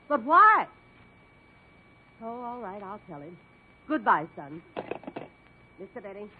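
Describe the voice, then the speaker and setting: A woman playing a brash, domineering, middle-aged mother with a combative, sarcastic manner in a 1950s radio comedy. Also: fairly high pitch. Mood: grudging. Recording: muffled, noisy 1950s radio, faint audience.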